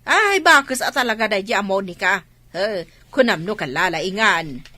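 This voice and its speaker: A woman's voice: medium pitch, animated, normal speed, pushed and bright, dry recording. A woman with an Ilocano Filipino accent playing a nosy, gossipy village woman in an audio drama. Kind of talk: amateur audio drama acting.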